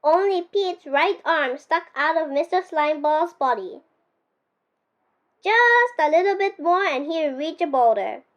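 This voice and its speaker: Dull-sounding, very high-pitched young girl reading. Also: animated, measured pace. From Japan. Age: child, 10 years old. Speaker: young author reading her own picture book. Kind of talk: expressive storybook read-aloud.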